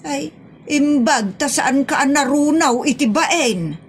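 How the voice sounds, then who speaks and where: A woman plays an exasperated Ilocano mother in a comedy audio drama. Her voice is rather high and exclamatory, with an Ilocano accent. She scolds as if forever mortified, over slight background noise. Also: expressive, slow. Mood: scolding.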